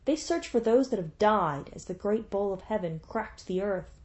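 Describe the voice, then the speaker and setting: Grieving warrior king, forceful and pleading, a woman reading his lines, mid-pitched. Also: expressive, normal speed, close to an everyday voice. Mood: raging.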